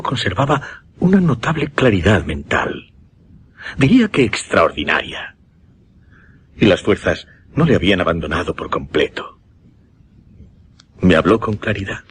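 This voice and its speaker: Radio drama grave male hypnotist, low voice with lively swings, Castilian accent, deliberate haunted delivery. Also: slight background noise. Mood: haunted, horrified. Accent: Castilian Spanish. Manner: deliberate, dramatic, hesitating and repeating words for effect. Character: grave male hypnotist recounting a dying patient's horror. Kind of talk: Spanish radio drama suspense narration.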